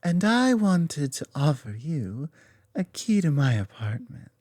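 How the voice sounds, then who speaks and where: Woman acting as affectionate lamia girlfriend, soft dominant, intimate ASMR roleplay, expressive swooping intonation, sibilant lisp, close dry sound. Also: low-pitched, no echo, heavily acted. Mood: tender, earnest. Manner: slow, halting, tender, earnest delivery.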